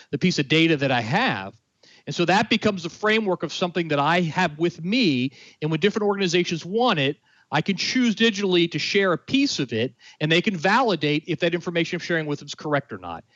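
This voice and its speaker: A man's voice: a little high, a bit bright, no room sound. A man explaining a technical idea in conversation, earnest, with many fillers and restarts. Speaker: guest expert. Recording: dry, dull lo-fi sound.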